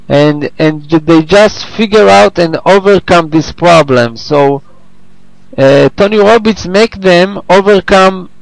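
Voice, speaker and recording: A man who is not a native English speaker, teaching informally on a group call in a halting, repetitive way as he searches for words. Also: a little high, gravelly, no echo, low-quality recording. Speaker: host and teacher of an online English class. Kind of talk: informal lesson on a conference call.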